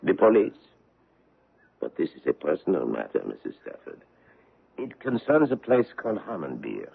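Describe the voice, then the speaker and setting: An actor playing a courteous, probing foreign investigator on the trail of a war criminal, in a 1970s radio drama. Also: male voice, deep voice, wildly swooping pitch, normal speed, creaky, husky, exaggerated voice. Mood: suave, probing. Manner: courteous, probing, gently menacing. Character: suave middle-aged foreign male war-crimes investigator. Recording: tinny old radio broadcast.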